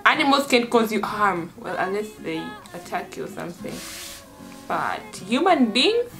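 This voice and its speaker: A woman's voice: medium pitch, very animated, normal speed, a bit bright, soft background music. A young woman hosting a casual vlog from her bedroom, playful and laughing often as she teaches phrases. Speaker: vlogger host. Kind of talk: casual chat. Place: bedroom, indoors.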